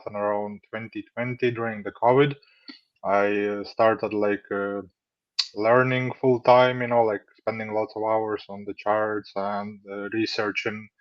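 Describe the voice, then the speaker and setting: A man in his thirties from Latvia, answering interview questions in a relaxed, rambling way with many fillers. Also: medium pitch, no echo. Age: 30s. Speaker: funded trader, guest. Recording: dull dry lo-fi audio.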